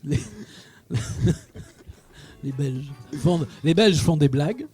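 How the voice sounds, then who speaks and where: A man's voice: on the high side, lively intonation, slow, darkish tone, husky, soft background music. Teasing male radio host. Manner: teasing, joking with guests. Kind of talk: live radio chat. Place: live broadcast from a wine shop.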